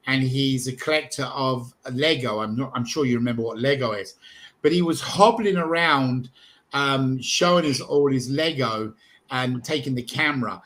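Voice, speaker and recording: A man's voice: mid-range voice, a bit bright. A man hosting a live charity video call, chatty and upbeat as he introduces guests. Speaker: livestream host.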